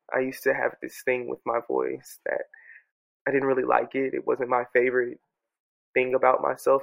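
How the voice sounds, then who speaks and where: Congested man musing with a gravelly edge. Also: medium pitch, highs cut off. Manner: soft, earnest, musing with many restarts. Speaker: podcast host journaling aloud. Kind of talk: reflective personal audio journal. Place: his home. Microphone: own studio microphone.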